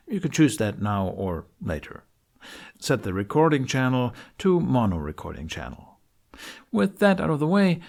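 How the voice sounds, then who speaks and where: A man's voice: medium pitch, creaky, darkish tone. A man narrating a scripted tutorial in an informal, reassuring way with wry asides, as host. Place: carpeted living room with curtains.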